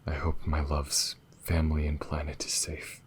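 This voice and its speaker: A man playing a gentle, wistful alien lover in an intimate ASMR roleplay, speaking softly and hesitantly. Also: a bass voice, completely flat delivery, slight background noise. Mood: wistful, hopeful. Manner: soft, hesitant, breathy pauses and sighs. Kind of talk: ASMR audio roleplay, intimate monologue to the listener.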